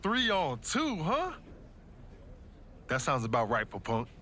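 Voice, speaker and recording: A man's voice: fairly high pitch, huge pitch swings, slow pace, bright, forceful tone, slight background noise, exaggerated voice. A man playing a fiery pro wrestler in a video game, speaking slangy, punchy lines with emphasis. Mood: casual confidence. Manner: slangy, punchy, emphatic delivery in short bursts. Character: fiery pro wrestler rallying his allies. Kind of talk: wrestling promo and video game cutscene acting.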